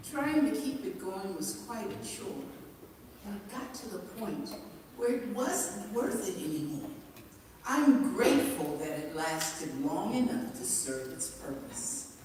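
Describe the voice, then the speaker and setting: A woman reciting a poem at a memorial service with emphatic, dramatic, deliberate phrasing. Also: medium pitch, darkish tone. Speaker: poet reading their own poem at a memorial service. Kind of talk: expressive spoken-word poetry recitation. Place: large echoing hall at a memorial service. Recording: distant, echoey hall with clear background noise.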